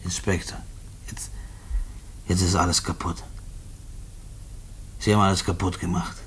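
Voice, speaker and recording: An actor in a German radio play voicing a condemned prisoner, a working-class family man who speaks haltingly and repeats himself. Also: low-pitched, level tone, a bit bright, slight background noise. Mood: bitter, angry.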